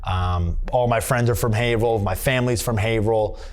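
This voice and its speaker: Rambling New boxer, filler-heavy. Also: male voice, lowish voice, brisk. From Massachusetts. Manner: rambling, many fillers and restarts. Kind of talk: candid sit-down interview. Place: boxing gym.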